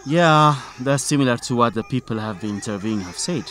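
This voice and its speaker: A man's voice: medium pitch, animated, a little fast, fairly bright tone, soft background music, natural voice. A man playing a driven, inquisitive reporter in a radio drama. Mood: serious. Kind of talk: English-teaching radio drama dialogue.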